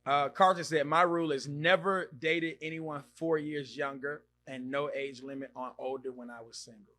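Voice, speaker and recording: Bright, lively man in his thirties. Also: fairly high pitch, normal speed. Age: 30s. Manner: animated, exclaims and reacts loudly, repeats himself. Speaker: podcast host. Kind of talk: casual live-stream chat reading comments.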